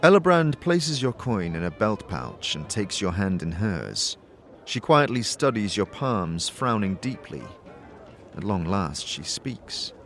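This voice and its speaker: A male narrator reads scripted fantasy story prose. His voice sits low and moves expressively through the scene-setting, in a dramatic storytelling style. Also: big pitch swings, slow pace, fairly bright tone, soft background music.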